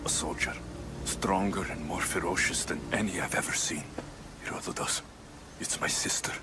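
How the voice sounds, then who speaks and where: A man's voice: deep voice, fairly even intonation, normal speed, heavy background noise, natural voice. A man playing a grim, driven young warrior in a video game, terse and intense, with music behind him. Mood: grim, urgent. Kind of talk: dramatic video game acting.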